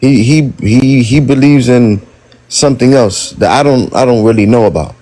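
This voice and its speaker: A man's voice: mid-range voice, normal speed, warm tone, poor audio. A man in an online debate who stammers and repeats words as he answers. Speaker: debate participant.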